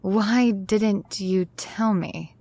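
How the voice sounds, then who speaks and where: A woman's voice: medium pitch, very slow, mellow, dry recording. An actress playing a flustered, harried woman in an audio drama, speaking expressively. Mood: upset, disbelieving. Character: flustered, harried talk show woman, often late.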